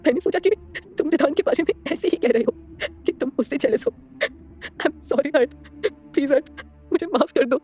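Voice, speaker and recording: A woman's voice: sits high, measured pace, a little mellow, faint music behind, voice pushed up. A woman playing a tearful, distraught young woman. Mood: tearful, pleading. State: crying.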